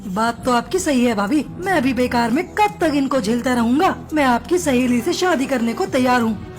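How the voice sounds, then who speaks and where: A woman's voice: sits high, lively intonation, moderate pace, over music. A woman voicing a harsh, bullying male crow in a cartoon, blustering and demanding.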